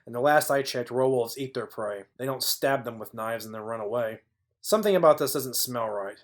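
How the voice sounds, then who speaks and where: A man's voice: medium pitch, brisk, lightly acted. A man playing a wry, hard-boiled private detective who narrates a noir audio play in a dry, sardonic way. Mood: wry narrating. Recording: background music under the voice.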